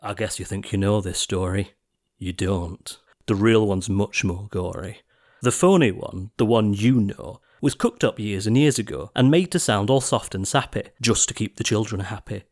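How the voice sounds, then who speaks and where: A man narrating an audiobook of rhyming verse in a theatrical, expressive storytelling style. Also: fairly low pitch, normal speed.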